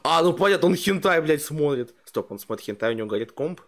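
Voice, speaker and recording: A man's voice: on the high side, expressive, quick pace, heavy background noise. A young man streaming a reaction video from a small home room on a headset, joking crudely and reading out donations. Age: young adult. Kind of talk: casual reaction commentary.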